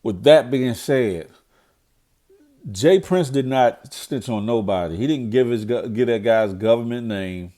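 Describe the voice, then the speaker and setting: A man's voice: mid-range voice, measured pace, mellow. A middle-aged man with a nasal, cold-stuffed voice, giving a blunt, preachy commentary monologue as a vlogger. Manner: blunt, preachy, repeats himself when fired up. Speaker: vlogger commenting on current events.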